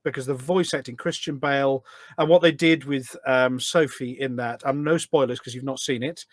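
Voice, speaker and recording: A man's voice: mid-range voice, steady tone, normal speed, dry recording, clean studio sound. A man talking enthusiastically as a guest in a casual interview, explaining things in a rambling way. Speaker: podcast guest, gaming community event organiser.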